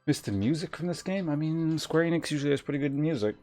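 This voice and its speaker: A man's voice: on the high side, expressive, quick pace, darkish tone. A man streaming a game, chatting casually in a wry, rambling, conversational way as he comments. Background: game music.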